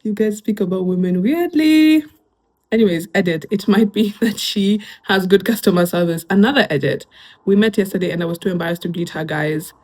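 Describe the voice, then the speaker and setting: A young woman with a Kenyan accent talks casually in a middle-pitched voice. She is chatty and easygoing, with plenty of fillers. She slips Swahili phrases in between her English. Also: fairly quick, darkish tone. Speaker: YouTuber reacting to online posts. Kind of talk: casual reaction commentary.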